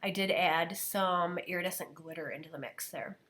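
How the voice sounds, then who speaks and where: Soft-voiced woman losing her voice to a cold. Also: fairly low pitch, moderate pace. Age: adult, parent of a daughter. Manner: chatty, relaxed, describing steps as she goes. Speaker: scrapbooking video host. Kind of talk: casual craft voice-over narration.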